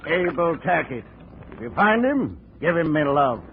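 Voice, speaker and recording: A cynical sea captain from an old radio adventure. His fairly high voice swings widely in pitch, salty and sardonic. It comes through a thin, noisy 1950s broadcast recording. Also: very animated, a little slow, vocal fry, phone-quality sound, exaggerated voice. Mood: bitter amusement. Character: cynical, weathered ship's captain of the Eastern seas. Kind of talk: 1950s radio drama acting.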